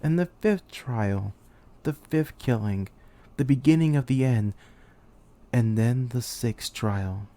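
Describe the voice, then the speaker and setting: A man playing a betrayed, despairing young military commander in a theatrical, halting anime-game monologue. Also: mid-range voice, mellow, lightly acted. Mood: bitter resignation. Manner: theatrical, halting, anguished delivery. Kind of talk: dramatic monologue, anime-game fan voice reel.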